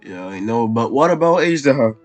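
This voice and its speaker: A man's voice: mid-range voice, expressive, brisk, faint music behind. A man hosting a let's play, giving casual commentary on a video game.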